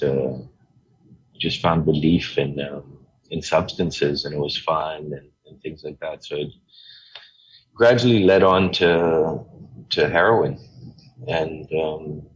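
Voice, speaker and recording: A middle-aged man from Hong Kong, a guest telling his story in a relaxed, rambling way with many fillers. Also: a bass voice, dull audio. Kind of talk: casual interview talk.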